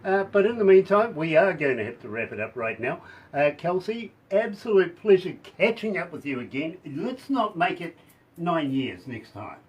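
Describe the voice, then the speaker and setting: A man with a husky, somewhat hoarse voice hosts a radio interview, pitched a touch high. He gushes and chats warmly with his guest, laughing between lines. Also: sing-song, normal speed. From New Zealand. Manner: effusive, chatty, laughs often. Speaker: radio host.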